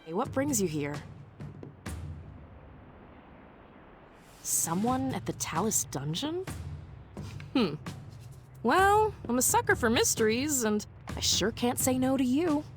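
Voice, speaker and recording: An actress playing a guarded, defiant reformed outlaw in a video game, with a sassy, blunt manner. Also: female voice, on the high side, big pitch swings, unhurried. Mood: playful. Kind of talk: video game cutscene voice acting. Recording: music clearly behind the voice.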